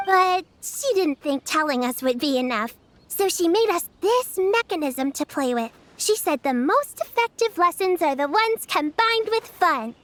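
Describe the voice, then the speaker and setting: A woman's voice: extremely high pitch, animated, measured pace. A sweet, motherly little girl. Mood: cheerful. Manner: sweet, earnest, looks after her friends. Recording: game audio with soft music underneath.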